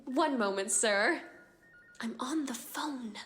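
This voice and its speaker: A woman playing a brisk, evasive receptionist at a sinister institute in a horror audio drama. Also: high voice, a bit bright, soft background music. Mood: curt, evasive.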